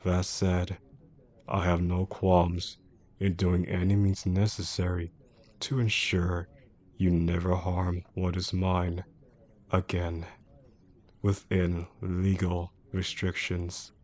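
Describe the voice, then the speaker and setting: A man playing a possessive, menacing boss in a romantic audio roleplay, speaking in a controlled, formal way with a gravelly voice. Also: deep voice, little pitch movement, a little mellow. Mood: cold, threatening. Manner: controlled, deliberate, formal wording. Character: possessive, menacing male boss obsessed with an employee.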